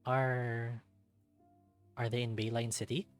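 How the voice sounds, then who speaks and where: A man's voice: mid-range voice, little pitch movement, takes it slowly, soft background music, close to an everyday voice. A man voicing an earnest, awkward young recruit in a visual novel, with a lifted youthful voice and hesitant, apologetic delivery.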